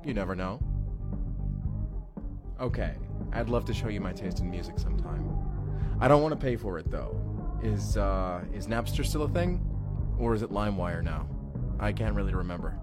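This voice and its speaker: A man's voice: on the low side, normal speed, long pauses, lightly acted. A man playing an awkward, friendly, evasive young man in an immersive audio drama, chatty and hesitant, often joking. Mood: playful, chatty. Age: young adult. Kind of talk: immersive first-person audio roleplay. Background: music.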